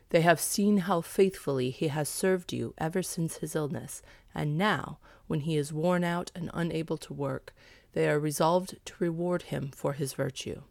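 A woman voicing a kindly old male priest who speaks in a gentle, measured, solemn way in a storybook. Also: low voice, creaky, no echo. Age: older.

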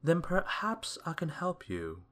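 Man putting on a rambling, high-pitched old wizard. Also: wildly swooping pitch, unhurried, fairly bright tone, heavily acted. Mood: kindly. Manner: rambling, hesitant, repetitive, polite. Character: wordy dithering kindly old male wizard, mildly stern.

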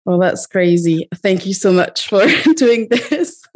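A woman's voice: medium pitch, expressive, slow pace, a little mellow. A woman hosting a podcast interview in a casual, informal, chatty conversational way. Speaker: podcast host.

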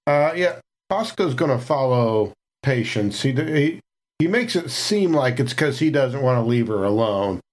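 A tabletop player chatting easily about what his character does, always in the third person. His voice sits in a middle pitch and stays relaxed. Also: unhurried. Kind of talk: casual tabletop game play.

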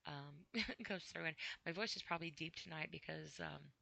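A tired woman podcasting alone, rambling in a halting, apologetic way with many fillers after crying earlier. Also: low-pitched, gravelly, pushed and bright, dry recording. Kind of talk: casual rambling monologue. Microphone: computer mic with headphones on.

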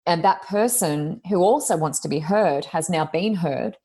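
A woman, co-hosting a casual talk podcast, speaking loudly and expressively as she reflects on her own experience. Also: on the low side, a little slow, breathy edge. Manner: loud, expressive, self-reflective. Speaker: podcast co-host, coach. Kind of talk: casual chat.

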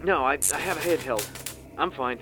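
A man's voice: a little high, moderate pace, over music, close to an everyday voice. A man playing a prickly, sarcastic tactical officer who argues with a rival in a science fiction audio drama. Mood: wary. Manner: sarcastic, argumentative. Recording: clear vehicle-like background noise.

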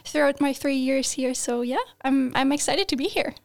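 A woman's voice: high-pitched, moderate pace, creaky. A woman in her twenties, a student guest, talking casually with lots of fillers and laughter. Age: 20s. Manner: chatty, laughs often, many fillers and restarts. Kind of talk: casual podcast chat.

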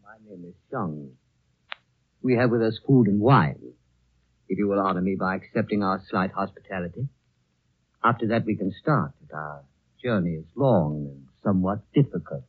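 An elderly Chinese envoy in a 1930s radio drama, low and husky. He is formal, measured and serenely courteous. The recording is thin and tinny, like an old radio. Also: expressive. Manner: formal, measured, unruffled politeness.

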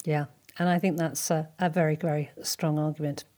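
A woman's voice: low voice, little pitch movement, brisk, dark tone. A woman hosting a podcast, speaking in a conversational presenter's style. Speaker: podcast host. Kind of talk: conversational interviewing and scripted sign-off.

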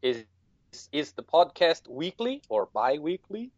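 Guy doing a hesitant old ghoul sidekick, droll, pitch moves around a lot, kinda muffled lo-fi recording. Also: very animated, unhurried, dry recording. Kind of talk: comic podcast banter in character.

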